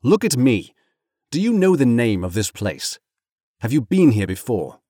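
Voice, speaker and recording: A man's voice: mid-range voice, big pitch swings, measured pace, warm tone, no echo, studio quality, pitched above the performer's own voice. A man voicing a stern, grave captain from a storybook, judicious and commanding, with formal archaic phrasing.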